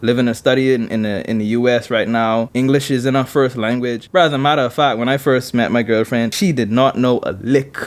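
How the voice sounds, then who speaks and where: A young man with a Bahamian accent, co-hosting a casual podcast chat in an animated, slangy way. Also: medium pitch, a little fast, few pauses. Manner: animated, says bro often. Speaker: podcast co-host.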